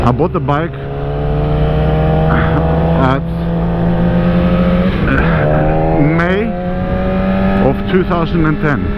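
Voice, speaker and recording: A man in his forties, not a native English speaker, chatting casually in a rambling way with many fillers while riding a motorcycle, recorded on a helmet or bike mic. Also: mid-range voice. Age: 40s. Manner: relaxed, rambling with frequent uh fillers. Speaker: motovlogger. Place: outdoors on a motorcycle in traffic. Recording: dull audio with loud engine and wind noise. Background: motorcycle engine and road noise.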